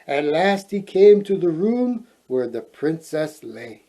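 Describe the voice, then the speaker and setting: A man reading a children's fairy tale aloud in a playful, expressive way, adding joking asides. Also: a little high, slow. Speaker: storyteller, host.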